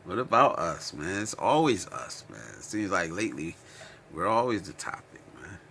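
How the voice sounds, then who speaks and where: Very deep-voiced American man, forceful. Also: big pitch swings, moderate pace, creaky, slightly rough. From Connecticut, United States. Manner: slangy, informal, often ends phrases with "man"; emphatic repetition of phrases. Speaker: livestream host. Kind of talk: casual chat, livestream commentary answering viewer comments.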